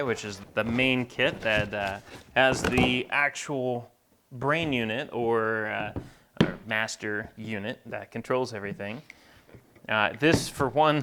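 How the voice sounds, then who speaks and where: A man's voice: medium pitch, pushed and bright, a little hiss or hum. A man giving casual, conversational unboxing commentary as a tech reviewer, explaining things as he goes.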